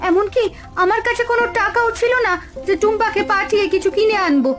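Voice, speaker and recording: A woman's voice: extremely high pitch, quick pace, over music. A woman voicing a village wife and mother in a cartoon story, fretful and scolding. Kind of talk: cartoon voice acting.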